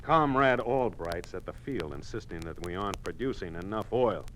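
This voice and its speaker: A man's voice: low-pitched, lively intonation, normal speed, fairly bright tone. An American man acting an earnest, worried middle-aged oil company boss in a 1950s radio drama, firm and reasoning. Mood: weary, determined. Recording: noisy old 1950s radio broadcast.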